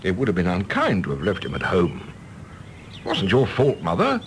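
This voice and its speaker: Polite, restless English son, lively intonation. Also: male voice, low voice, moderate pace, slight background noise. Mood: placating, evasive. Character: restless, polite, evasive bachelor son of anxious mother. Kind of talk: British radio drama acting.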